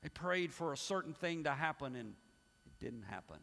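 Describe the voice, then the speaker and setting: A man's voice: a little high, sing-song, normal speed, creaky. A middle-aged man preaching from the pulpit with a slightly raised voice, pressing hard on some lines and dropping almost to a murmur on others. His words ring out with a long reverb through a big church hall. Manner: emphatic preaching that swings from loud to hushed. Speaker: pastor. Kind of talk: sermon. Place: church sanctuary.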